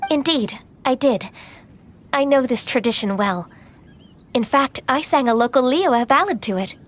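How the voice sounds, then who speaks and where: A woman's voice: high-pitched, lively intonation, unhurried, slight background noise. A woman voicing a polite young townswoman who speaks in a courteous, formal way. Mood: gracious. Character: polite young woman of the harbor town, gracious.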